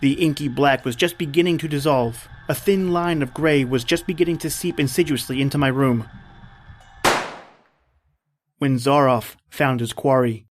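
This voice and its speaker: A wary, principled hunter , acted by a man, with a mid-pitched voice. He speaks gravely and earnestly, in the dramatic manner of an old-time radio horror play. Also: normal speed, slight background noise, close to an everyday voice. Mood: tense, brooding. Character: principled male hunter, wary and appalled guest. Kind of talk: old-time radio drama acting with first-person narration.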